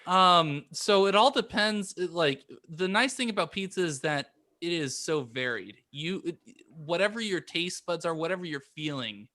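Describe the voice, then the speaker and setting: A man chatting casually as a podcast panelist, explaining things in a halting, hesitant way. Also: fairly high pitch, lively intonation, sharp tone. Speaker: podcast panelist, pizza chef. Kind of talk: casual chat.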